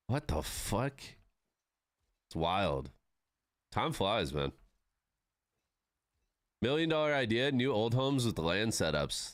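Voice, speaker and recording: A man's voice: lowish voice, fairly bright tone, no room sound, clean studio sound. A man in his thirties chatting casually with his live-stream viewers, laid-back and swearing freely. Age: 30s.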